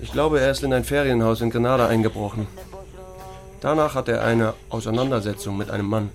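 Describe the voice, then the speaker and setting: Man acting laconic sheriff, understated, mid-pitched, steady, radio drama lead and narrator. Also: brisk, natural voice. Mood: calm. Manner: dry, understated. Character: laconic, dry, steady male small-town sheriff.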